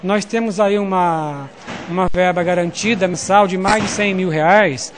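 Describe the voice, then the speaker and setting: An older Brazilian man in his sixties speaks in a high, assured voice as he explains things. He talks into a reporter's handheld mic inside a council chamber. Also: measured pace. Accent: Brazilian Portuguese. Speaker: council president. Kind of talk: interview answer.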